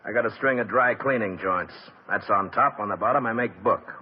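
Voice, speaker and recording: A man's voice: on the low side, brisk, slight background noise, lightly acted. An actor playing a tough, blunt, streetwise gangster father in a 1950s radio drama. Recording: dull lo-fi old radio broadcast.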